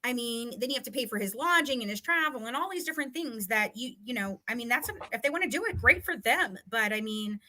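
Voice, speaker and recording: A woman with a fairly high, sharply bright voice recounts events in an animated, casual way. She is emphatic and salty, slipping into re-enacted dialogue as she goes. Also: fast, few pauses, a little hiss or hum, poor audio. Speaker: guest recounting a legal dispute.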